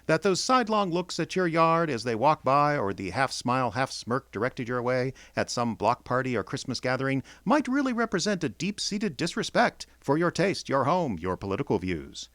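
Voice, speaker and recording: Lively male radio theater announcer, dry sound. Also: medium pitch, no room sound. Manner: theatrical, deliberate phrasing. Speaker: announcer and host.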